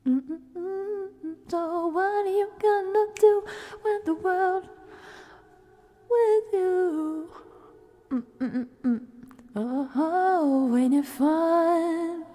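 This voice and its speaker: A woman livestreamer casually singing along and making soft, playful chatty asides, sometimes in a whisper. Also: very high-pitched, extremely slow. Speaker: livestreaming ASMR creator. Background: music playing from a TV.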